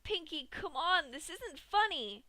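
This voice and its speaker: A woman's voice: extremely high pitch, animated, unhurried, bright, forceful tone, dry recording, voice pushed up, exaggerated voice. A woman voicing a brash young female pegasus pony who pleads in panic. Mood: pleading.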